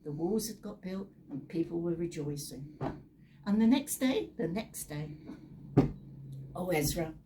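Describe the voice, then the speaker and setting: A woman's voice: fairly low pitch, lively intonation, measured pace, pauses often, dark tone, a little hiss or hum, rough recording. A British woman telling Bible stories in a chatty, informal way, with theatrical asides and rhetorical questions. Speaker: storyteller.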